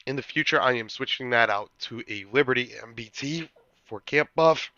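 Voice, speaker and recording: A man hosting a gaming channel, talking through a strategy walkthrough while getting over a cold, now and then clearing his throat and restarting his sentences. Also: medium pitch, normal speed, bright, forceful tone, dry recording, highs cut off. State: recovering from a cold.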